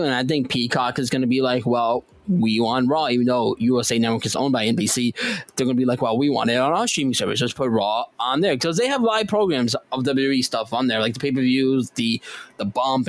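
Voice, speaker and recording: A man's voice: medium pitch, dry recording. A man co-hosting a podcast, chatting casually in a joking, teasing way. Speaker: podcast co-host. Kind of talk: casual chat.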